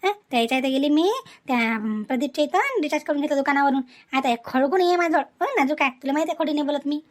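Woman acting grumbling, high-pitched older mother-in-law. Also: fast talker, natural voice. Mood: weary refusing. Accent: Varhadi Marathi. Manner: grumbling, complaining in repetitive lists. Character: thrifty, exasperated older mother-in-law running the household. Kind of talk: cartoon family comedy acting.

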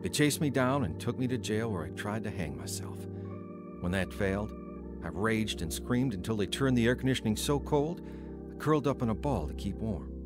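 Low-voiced, troubled man played by an actor. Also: lively intonation, normal speed, over music, lightly acted. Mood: brooding, regretful. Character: troubled, hot-tempered working man recounting his past. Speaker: narrator and lead actor. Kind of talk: first-person dramatic radio narration and acting.

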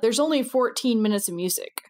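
A woman's voice: fairly high pitch, expressive, brisk, darkish tone. A woman co-hosting a podcast, talking casually and conversationally. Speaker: podcast co-host.

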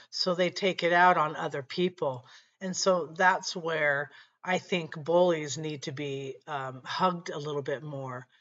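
A middle-aged woman with a slightly raspy voice, telling a personal faith story earnestly to her webcam at home. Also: fairly low pitch, a bit bright, lo-fi sound. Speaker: channel host. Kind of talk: faith-based personal talk to camera. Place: small room at home, indoors.

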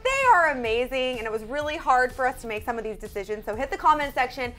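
A young American woman with a somewhat high voice co-hosts a fashion show. She gives casual, emphatic opinions in a studio, with music behind her. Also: lively intonation, fast talker, a bit bright. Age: young adult. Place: indoor studio set.

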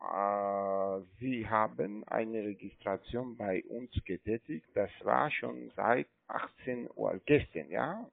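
A man with a foreign accent on a sales call, speaking hesitantly in halting non-native phrasing. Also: on the low side, vocal fry, no echo. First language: not native German speaker. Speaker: cold-calling online trading agent. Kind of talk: unscripted phone sales call. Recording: tinny narrow phone line.

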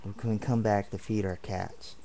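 A man's voice: lowish voice, fairly even intonation, moderate pace, creaky, mellow, a little hiss or hum. A man ranting indignantly and at length in a home video, repeating himself as he rambles. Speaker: vlogger. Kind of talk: angry home video rant. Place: home apartment.

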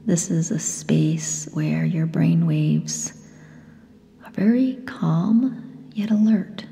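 A woman with a soft, breathy, whispery voice leads a guided meditation in short, gentle phrases with long pauses. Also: deep voice. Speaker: yoga nidra guide. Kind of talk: guided meditation, slow and soothing. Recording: long reverb, soft music behind. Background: sound bath music.

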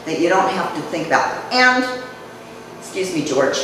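An older woman giving a chatty public talk to a live audience, her voice dropping low as she speaks. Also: sing-song, moderate pace, pushed and bright. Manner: conversational, chatty, jokes with the audience. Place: community event room. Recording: echoey hall, mic far off, noisy.

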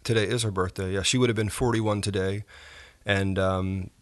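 Soft-spoken lower-voiced man in his forties. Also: fairly even intonation. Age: 40s. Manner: soft-spoken, halting with frequent fillers. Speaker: pastor and podcast guest. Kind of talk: reflective interview conversation.

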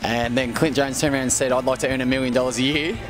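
A young Australian footballer tells a relaxed story into a handheld interview mic, with chuckling asides. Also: male voice, medium pitch, quick pace, faint music behind. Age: young adult. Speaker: footballer guest. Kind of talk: casual anecdote.

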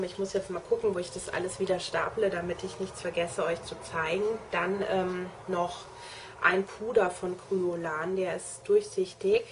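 Chatty woman with a low, flat voice. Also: slight background noise. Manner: chatty, enthusiastic, addresses viewers directly. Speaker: make-up artist trainee showing her kit. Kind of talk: casual show-and-tell vlog.

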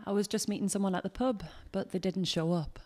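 Actress as a mellow-voiced young woman. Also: mid-range voice, fairly even intonation, a little fast, natural voice. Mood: polite. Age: young adult. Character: polite, teasing young woman visitor. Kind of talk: audio play performed on stage. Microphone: close stand microphone.

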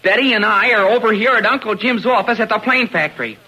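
An actor playing an eager, excitable high-school boy with an American accent in a 1940s radio serial, earnest and full of gee-whiz exclamations. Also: quick pace, husky, full character voice. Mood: urgent. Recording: noisy old radio broadcast recording.

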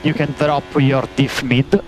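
A man working as a race engineer, giving terse, halting instructions over team radio and repeating key commands. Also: medium pitch, flat delivery, a little mellow, faint music behind. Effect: team radio transmission. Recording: noisy motor-racing team radio.

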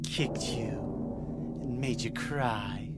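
Slow, theatrical, sardonic male schemer. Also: medium pitch, loud music behind the voice. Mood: smug, needling. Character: smug, cynical, mocking worldly male schemer. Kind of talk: dramatic video game acting.

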